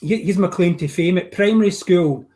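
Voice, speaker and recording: Older glasgow guy on a video call from his art studio, bit high voice, rambly, lots of restarts. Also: moderate pace, warm tone. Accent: Glaswegian. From Scotland. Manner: chatty, rambling with many restarts. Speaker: painting teacher. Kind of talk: casual video call chat.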